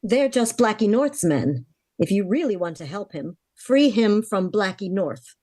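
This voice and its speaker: A woman acts out a desperate, determined young heroine of an old radio serial. Her mid-pitched voice is insistent and melodramatic, with wide swings of intonation in a dry recording. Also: animated, a little slow, darkish tone, no room sound. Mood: tense. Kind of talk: 1940s radio drama acting.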